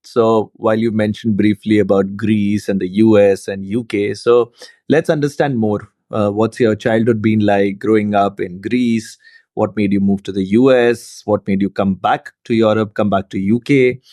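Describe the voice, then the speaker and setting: A man's voice: on the low side, fairly even intonation, moderate pace, no room sound, clean studio sound. A middle-aged man hosting a podcast, reading a formal introduction and asking interview questions in a polished, courteous way. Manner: polished, formal, with warm praise. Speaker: podcast host.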